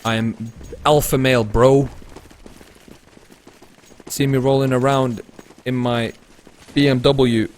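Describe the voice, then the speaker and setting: Male esports commentator, medium pitch, casual streamer chat mixed with keen play-by-play, slight background noise from game gunfire and clicks. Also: takes it slowly, long pauses. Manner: swings between excited play-calling and low-key rambling.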